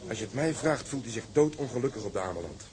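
An actor playing a seasoned, resigned sailor talking frankly and reflectively over drinks in a Dutch radio drama. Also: male voice, medium pitch, animated, quick pace, darkish tone, close to an everyday voice.

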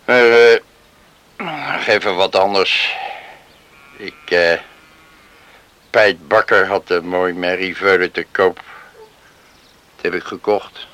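Radio play part: reserved, gruff older man, lowish voice with a hard edge. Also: takes it slowly, long pauses, pushed and bright, heavily acted. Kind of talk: Dutch radio drama acting.

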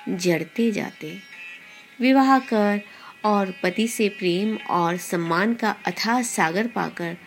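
A woman narrates like a podcast storyteller, taking her time over each phrase. Her pitch sits in a middle range for a woman, and her delivery is measured and deliberate. Soft music plays behind her. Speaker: podcast host and story reader. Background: background music.

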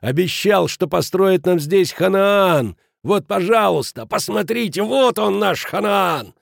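A man voicing an enraged, blustering man who feels cheated, ranting in abrupt shouted phrases in an audiobook. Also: high-pitched, expressive, unhurried, gravelly, no room sound, clean studio sound, pitched above the performer's own voice, full character voice. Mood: roaring outrage.

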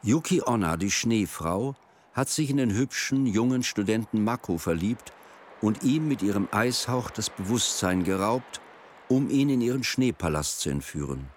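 A man narrating a folk tale for a radio drama in a measured, literary storytelling delivery. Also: fairly low pitch, lively intonation, slight background noise. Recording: music behind the voice. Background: music.

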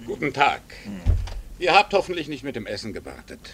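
An actor playing a middle-aged, urbane and polite professor in a radio drama, with an easygoing, courteous manner. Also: male voice, mid-range voice, huge pitch swings, brisk, bright, forceful tone, slight background noise, full character voice. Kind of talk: 1960s radio drama acting.

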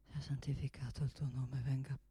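A woman playing a desperate, unstable man in a theatre monologue, pleading in a halting, repetitive way. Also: rumbling low, little pitch movement, mellow, husky, dry recording, full character voice. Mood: hushed praying. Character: desperate, pleading man who prays and begs, unstable and tearful.

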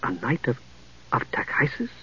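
A man's voice: on the high side, expressive, quick pace, a bit bright, no room sound, voice pushed up. Audiobook role: unsure young male mage, read by a man, courteous, tentative, muffled dry sound. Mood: astonished. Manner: hesitant, stammering.

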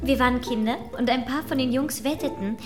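Actress as high-voiced spirited baroness. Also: female voice, a little fast, close to an everyday voice. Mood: boldly amused. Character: spirited aristocratic baroness, commanding, bold. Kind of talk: period radio drama acting. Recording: studio drama with music behind.

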